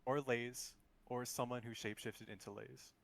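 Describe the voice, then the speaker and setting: A man with an average-pitched voice and noticeable fry. He talks casually as a player in a group game, making his case to the others. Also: fairly even intonation, normal speed, fairly bright tone. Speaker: player in a social deduction game.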